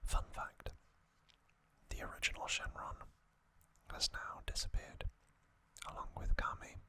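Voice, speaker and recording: An ASMR host whispering in a breathy, unvoiced voice, with hushed, deliberate phrasing and long pauses. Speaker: host of an ASMR trivia channel. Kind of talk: ASMR, whispered reading and commentary.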